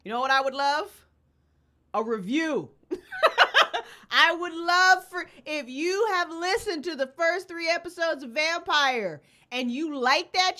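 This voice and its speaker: High-voiced woman, playful sweary livestream host. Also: sharp tone. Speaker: livestream host, podcast co-founder, writer and director. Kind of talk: casual live chat. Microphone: streaming setup with webcam and headphones.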